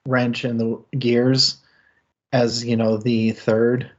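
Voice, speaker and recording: A man's voice: mid-range voice, flat delivery, slow pace. A man in the middle of his range talks through a book on a live stream. He thinks aloud in long, winding sentences and laughs often. The sound is muffled and lo-fi. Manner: thoughtful, rambling, with frequent laughs. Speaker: book club stream host. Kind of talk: casual book discussion.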